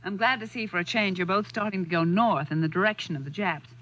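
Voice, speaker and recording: An actor playing a plucky young American wife of a war reporter in a 1940s radio drama. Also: male voice, sits high, fast talker, a bit bright. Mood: spirited. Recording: dull band-limited old radio, faint music.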